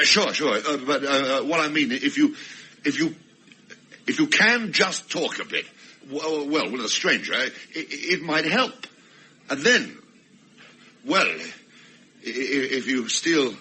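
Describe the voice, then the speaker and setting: A man's voice: mid-range voice, lively intonation, slow, long pauses, sharp tone, slight background noise, heavily acted. An actor playing a flustered, self-absorbed man in a radio play, stammering and hesitant as a reluctant rescuer. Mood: pleading, awkward. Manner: stammering, hesitant, nervously pleading. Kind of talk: radio play acting with inner narration.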